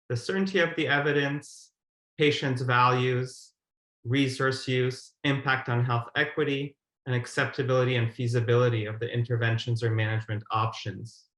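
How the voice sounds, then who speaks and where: Male presenter, thirties, measured and formal explainer, mid-range voice, reverberant video-call sound. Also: medium pitch, a bit bright. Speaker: guideline methodologist, webinar presenter. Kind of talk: prepared webinar presentation. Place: indoor room on a video call. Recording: roomy, echoing webcam audio.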